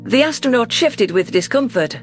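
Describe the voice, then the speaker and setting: A man narrating a science fiction story in the first person, in an expressive, theatrical manner. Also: very high voice, normal speed, faint music behind.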